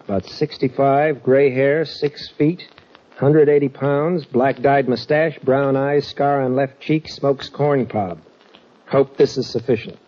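A man's voice: medium pitch, fairly even intonation, slow. An actor playing a hesitant townsman, a cowboy's friend, in an old radio western. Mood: hesitant, sober. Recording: muffled, noisy vintage radio recording.

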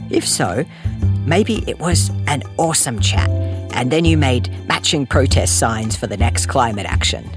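A podcast host reading scripted narration in a chatty way, addressing the listener directly. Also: medium pitch. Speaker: host and series creator. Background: music.

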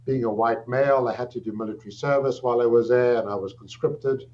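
A middle-aged man with a South African accent, a psychiatrist answering an interview question with measured, thoughtful speech and frequent pauses and restarts. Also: mid-range voice, fairly even intonation, a little mellow. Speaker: guest, psychiatrist. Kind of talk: interview answer, recounting background. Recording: dull lo-fi audio with faint hum.

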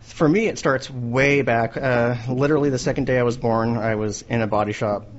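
A man's voice: medium pitch, fairly even intonation, moderate pace, lo-fi sound. A man with a nasal voice, a guest on a casual podcast, telling stories in a dry, offhand way. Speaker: guest, custom car painter.

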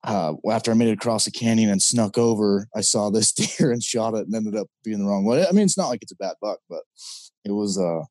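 A man speaking as a podcast guest and hunting guide, telling stories in a relaxed, easygoing way. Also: fairly low pitch, a little fast, dry recording. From Idaho, US. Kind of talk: casual interview talk.

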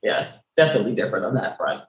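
A woman from Barbados who was raised in New York chats casually on a podcast and laughs often. Her voice sits low. The room is echoey, with faint noise behind her. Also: very fast, lo-fi sound. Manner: laughs often, animated storytelling. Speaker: podcast guest. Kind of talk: casual chat.

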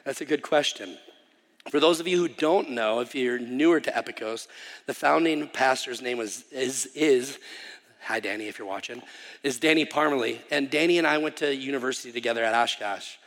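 A man's voice: mid-range voice, moderate pace, a bit bright. A man from Wisconsin in his thirties, a missionary guest preacher giving a chatty, self-deprecating sermon introduction with frequent ums and restarts. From Wisconsin, USA. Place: church sanctuary. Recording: live church hall reverb.

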